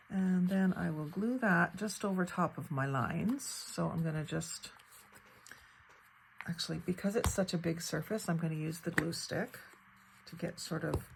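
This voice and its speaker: A woman with a slightly hoarse, crackly voice, thinking aloud in a casual crafting tutorial at her table. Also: sits low. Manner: thinks aloud while working, unhurried and chatty. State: slightly hoarse, fighting off a cold. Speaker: craft channel host.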